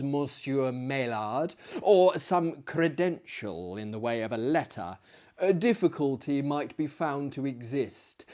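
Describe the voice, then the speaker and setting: A man in his thirties reading a literary tale aloud in a theatrical, gentlemanly manner, with dramatic pauses and chatty asides. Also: mid-range voice. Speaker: storyteller, host. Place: small book-lined living room, indoors.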